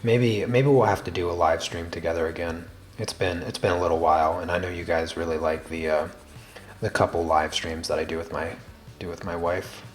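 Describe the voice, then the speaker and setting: A man with a very low, soft and quiet voice chats with viewers while drawing on a livestream. He talks slowly and deliberately, often repeating a word before going on. Faint music plays behind him. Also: steady tone. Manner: slow, deliberate cadence with many restarts. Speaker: art livestream host.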